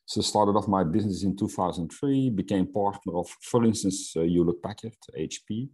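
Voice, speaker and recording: A man's voice: low voice, normal speed, dark tone, breathy edge. A middle-aged man from Belgium whose first language is Dutch, presenting in an online webinar with frequent fillers and restarts. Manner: hesitant, many fillers and restarts. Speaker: Salesforce instructor.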